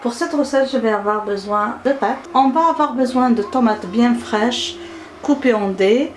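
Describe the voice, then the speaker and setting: A fairly high-pitched woman with an Algerian accent explains a recipe one step at a time, and Arabic is her first language. She talks in a gentle, teaching way and often pauses on filler sounds. A faint hiss of noise sits under her voice. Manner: hesitant, frequent filler sounds. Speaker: cooking channel host.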